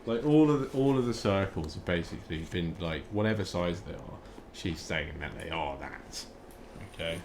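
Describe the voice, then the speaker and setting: A man's voice: deep voice, lively intonation, moderate pace, a little mellow, a little hiss or hum. A man hosting a podcast, chatting casually in a dry, laid-back way, trailing off with ums. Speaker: podcast host. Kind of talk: casual chat.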